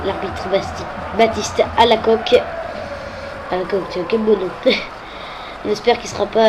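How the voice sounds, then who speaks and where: A woman's voice: mid-range voice, noisy background. A woman with a head cold commenting on a football video game, hesitant and full of filler sounds. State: has a cold.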